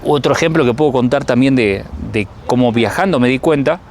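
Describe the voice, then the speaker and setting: Argentine man from Rosario, thirties, casual vlog talk, middle pitch, faint street noise behind. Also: brisk, darkish tone. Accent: Argentine Spanish, Rosario. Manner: reflective, thinks aloud, addresses viewers directly. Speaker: travel vlogger.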